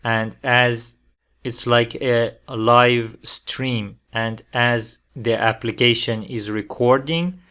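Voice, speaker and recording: A man presenting a programming tutorial step by step, phrasing things deliberately in short, halting fragments. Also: medium pitch, fairly even intonation, pushed and bright, clear, resonant tone. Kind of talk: step-by-step software tutorial narration.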